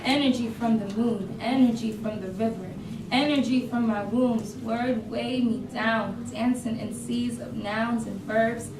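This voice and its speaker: Actress as yearning woman poet, lyrical stage monologue, higher voice, echoey noisy room. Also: fairly even intonation, a little slow, recorded from a distance, close to an everyday voice. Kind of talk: staged reading of poetic monologue.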